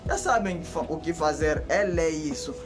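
A young man with a Mozambican Portuguese accent talks casually to the camera for his channel, animated and gesturing with both hands, recorded close up indoors by a bare concrete wall. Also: fairly high pitch, moderate pace. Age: young adult. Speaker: YouTuber.

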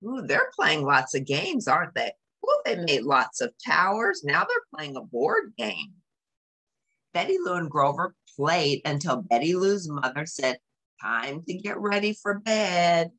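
Slow, very animated woman reading a storybook. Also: sits low, gravelly, husky. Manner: expressive, explanatory, with exclamations. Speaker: storybook reader. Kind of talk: read-aloud with casual commentary for young children.

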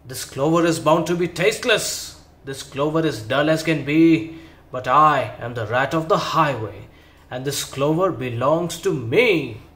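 Bullying rat bandit, put on by a man reading a storybook, loud, gloating, boomy echoey room. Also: measured pace. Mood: bellowing. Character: greedy bullying male rat highwayman.

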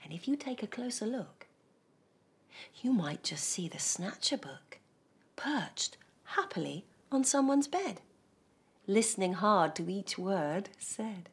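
A woman in her thirties reading a rhyming bedtime story aloud in a studio, soft and hushed, often dropping to a whisper. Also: mid-range voice, lively intonation, takes it slowly, slightly rough. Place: cosy studio set.

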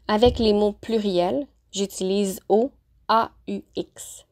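A woman teacher narrating a lesson, pronouncing example phrases slowly and carefully. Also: mid-range voice, fairly even intonation, darkish tone. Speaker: French-as-a-second-language teacher. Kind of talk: clear, deliberate vocabulary lesson narration.

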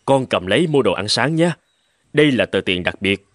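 A man voicing a caring, busy middle-aged father in a cartoon, speaking in a gentle, indulgent way. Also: lowish voice, lively intonation, moderate pace, lightly acted. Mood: affectionate. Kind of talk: cartoon dubbing. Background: music.

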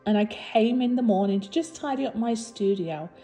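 A slightly husky woman narrates her own craft video in a casual, encouraging voice-over, sounding a little tired. Her voice sits fairly high but mellow. Soft music plays faintly underneath. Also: normal speed. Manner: chatty, warm and encouraging, with fillers and restarts. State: low energy after recent surgery. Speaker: resin artist and channel host.

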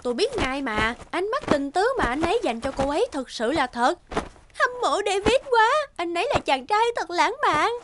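Woman putting on a squealing high-pitched young fangirl. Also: sing-song, normal speed, heavy background noise. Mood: thrilled. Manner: breathless, swooning, exclamatory. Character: excited gushing young female celebrity fan.

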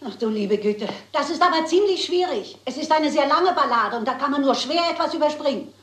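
A roomy sound surrounds an elderly spinster sleuth. She is genteel, unflappable and a touch theatrical, with a highish voice, in the manner of a crime radio play. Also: lively intonation, a little fast. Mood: polite, earnest. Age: older.

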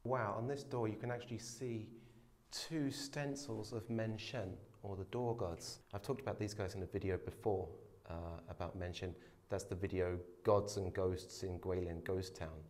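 A man's voice: fairly low pitch, steady tone, moderate pace, a little mellow. A man in his thirties filming a casual walking tour of an abandoned dormitory, explaining what he finds with curiosity, phone held at arm's length. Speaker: urban explorer vlogger. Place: abandoned dormitory building, indoors. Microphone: phone camera held at arm's length.

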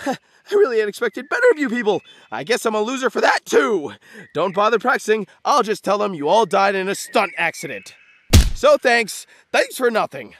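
A man playing a prickly, pretentious teenage boy film director in an animated comedy, sarcastic and theatrical in his delivery. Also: a little high, no echo. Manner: pompous, sarcastic, melodramatic.

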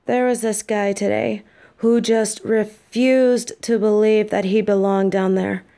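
An actress playing an affectionate, tired demon girlfriend in an audio roleplay, talking softly and close. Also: mid-range voice, fairly even intonation, measured pace, gravelly.

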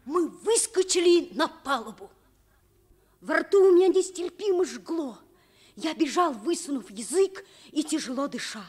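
A woman's voice: high-pitched, lively intonation, measured pace, a little mellow, soft background music. A woman playing an earnest, adventurous schoolboy of about ten in a children's radio play. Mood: breathless narrating. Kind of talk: Soviet children's radio play narration and acting.

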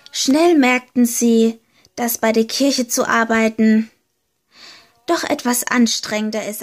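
A woman reading a short homemade fairy tale aloud, slow and deliberate, leaning gently into key words. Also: on the high side. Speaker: storyteller. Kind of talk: slow storybook narration.

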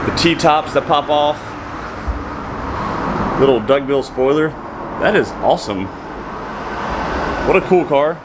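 A man in his thirties giving casual, enthusiastic commentary as a car vlogger, talking to his viewers. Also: mid-range voice. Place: outdoors by roadside car lots and shops. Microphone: handheld camera mic. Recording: outdoor camera audio with street noise.

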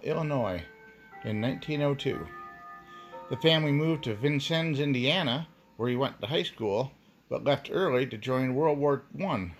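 A man's voice: a little high, fairly bright tone. An American man giving informal documentary narration in a folksy, reminiscing way. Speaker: local history video narrator.

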